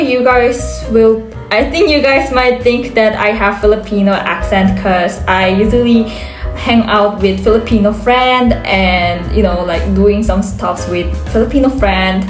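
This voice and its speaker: A woman's voice: mid-range voice, darkish tone, echoey room. A teenage girl from Korea with a Korean accent, chatting to camera in English with lots of restarts and "like"s. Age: teens. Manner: chatty, rambling with many restarts and "like"s. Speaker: vlogger. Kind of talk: casual talk to camera.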